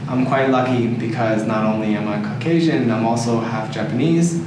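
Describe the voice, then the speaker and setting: A man's voice: medium pitch, moderate pace. Echoey, distant Canadian man in discussion. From Toronto, Canada. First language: English. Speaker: discussion participant. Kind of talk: reflective group discussion. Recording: echoey noisy room, distant mic.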